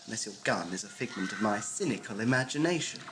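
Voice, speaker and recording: Man acting a flippant, lively young space traveller. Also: fairly low pitch, fast, background noise, natural voice. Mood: wry, evasive. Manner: wry, glib, nervy. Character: flippant, evasive young male offworld traveller. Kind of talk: audio drama acting.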